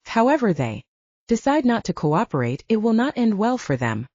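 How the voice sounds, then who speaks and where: A woman's voice: medium pitch, very animated, normal speed, a little mellow, no room sound, highs cut off, pitched above the performer's own voice. A woman voicing a smug male werewolf alpha villain in an audiobook, taunting and goading. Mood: smug, threatening. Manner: sneering, goading.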